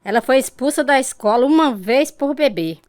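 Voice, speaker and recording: A woman's voice: high voice, expressive, moderate pace, dry recording, studio quality. An actress playing a harsh, bitter middle-aged mother in a Brazilian Portuguese radio drama, with a scolding, cutting delivery. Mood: bitter accusing. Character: harsh, bitter mother, spiritist, scornful and unforgiving.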